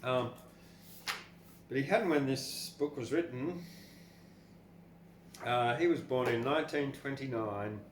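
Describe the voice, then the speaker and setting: A man's voice: medium pitch, slow, pauses often. An older Australian man hosting a poetry podcast, talking and reading in an informal, rambling, blunt way. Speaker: poetry podcast host and reader. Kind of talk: casual commentary and expressive poetry reading. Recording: echoey room with faint background noise.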